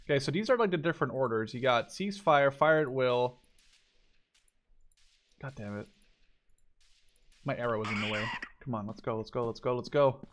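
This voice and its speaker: A man's voice: medium pitch, moderate pace, pauses often, a bit bright. A young man giving casual, informal let's play commentary on a game. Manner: informal, sweary. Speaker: gaming video creator.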